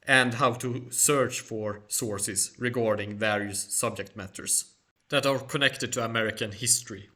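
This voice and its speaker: A man whose first language is Swedish, hosting a solo question-and-answer video in measured, formal phrasing. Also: mid-range voice, pushed and bright. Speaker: channel host. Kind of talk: solo Q&A monologue.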